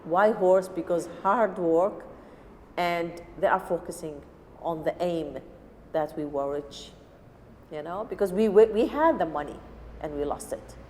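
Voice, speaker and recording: Earnest woman, low voice. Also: a little mellow. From Syria. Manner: earnest, halting English with restarts. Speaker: cooking teacher. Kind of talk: personal story told in an interview. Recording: echoey room with faint noise.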